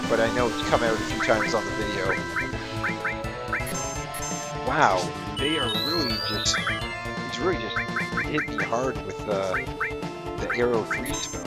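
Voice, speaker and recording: A man with a croaky voice, giving relaxed, rambling commentary on a game as its host. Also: mid-range voice, measured pace, over loud music. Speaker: let's play host. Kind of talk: casual gameplay commentary.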